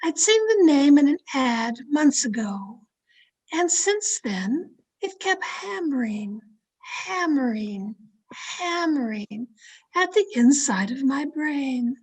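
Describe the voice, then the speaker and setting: A woman playing a restless, haunted heroine who narrates her mystery tale in melodramatic, deliberate old-time radio style. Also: sits high, very animated, darkish tone, full character voice, rough recording. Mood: haunted, intense.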